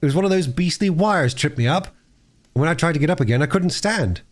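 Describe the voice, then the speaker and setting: A man's voice: on the high side, lively intonation, fast talker, pitched above the performer's own voice. A man voicing an injured, plucky and indignant young schoolboy with slangy speech in a storybook reading.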